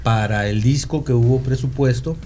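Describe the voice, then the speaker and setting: A man with a Guadalajara Mexican accent, a musician guest telling stories in casual conversation, halting and meandering, often seeking agreement. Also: medium pitch, mellow, a little hiss or hum. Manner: halting, meandering storyteller who seeks confirmation with "¿no?". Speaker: podcast guest, rock musician.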